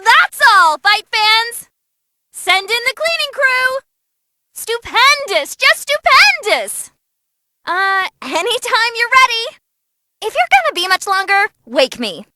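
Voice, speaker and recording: A woman's voice: very high voice, very animated, takes it slowly, bright, forceful tone, dry recording, exaggerated voice. A woman playing a perky, bloodthirsty, non-human fight announcer in a game-style performance, theatrical and playful. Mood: thrilled, showy, then bored, impatient. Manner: gleeful, dramatic, playful. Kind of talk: excited ringside fight commentary.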